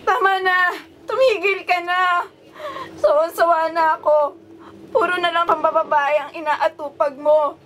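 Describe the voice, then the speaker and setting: A woman's voice: extremely high pitch, steady tone, a little slow, fairly bright tone, a little hiss or hum. A woman acting a hurt, furious betrayed wife and mother in a radio drama. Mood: tearful anger.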